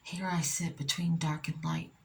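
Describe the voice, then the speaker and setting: A soft-spoken woman reading poetry in ASMR style, often whispering, with slow, deliberate phrasing and pauses between short phrases. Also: low voice, steady tone, a little hiss or hum. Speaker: poet reading own work.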